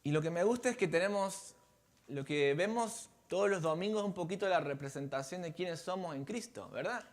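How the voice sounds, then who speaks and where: Argentine man, pastor's sermon, high voice, asks the pews questions, church sanctuary. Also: expressive, moderate pace. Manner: conversational, engages congregation with questions.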